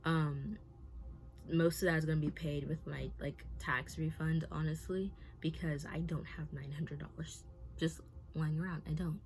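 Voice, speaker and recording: A woman's voice: low-pitched, fairly even intonation, moderate pace. A woman around twenty talking candidly to her camera, nervous and rambling, with many fillers and restarts. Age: 20s. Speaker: vlogger.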